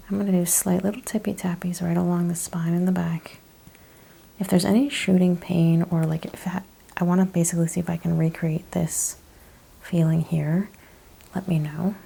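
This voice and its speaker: A woman speaking in a soft whispered ASMR style as a chiropractor doing an exam, calmly explaining and reassuring. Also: fairly low pitch, moderate pace, vocal fry, breathy edge. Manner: calm, reassuring, step-by-step explaining. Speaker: ASMR chiropractor role-player. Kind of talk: soft-spoken, whispered ASMR clinical exam role-play.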